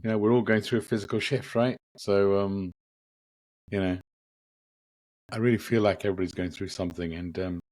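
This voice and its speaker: A man hosting a spiritual podcast, gently reflective, his voice a bit croaky from a lingering cough. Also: fairly low pitch, fairly even intonation, fairly quick, long pauses, dry recording. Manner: gentle, reflective, with frequent ums and repeats. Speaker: podcast host. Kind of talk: casual spiritual podcast talk.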